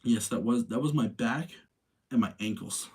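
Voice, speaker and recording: A man hosting a livestream, talking casually in an informal, rambling, slangy way with a husky voice. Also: mid-range voice, normal speed, a little mellow. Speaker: livestream host. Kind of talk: casual livestream chat and commentary.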